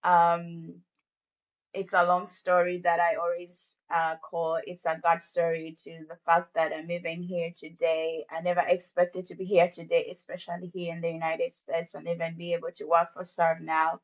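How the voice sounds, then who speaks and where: A Ugandan-accented woman with a fairly low, flat-toned voice tells her personal testimony in a ministry interview. She speaks with warm gratitude and faith, and the sound is slightly muffled and dull. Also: moderate pace. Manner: warm, faith-filled storytelling. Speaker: ministry staff guest.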